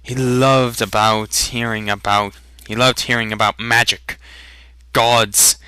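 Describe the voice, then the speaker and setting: A man's voice: medium pitch, little pitch movement, slow, sharp tone, clean tone, no echo. A man narrating a fantasy novel as a dramatic reading. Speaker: audiobook narrator.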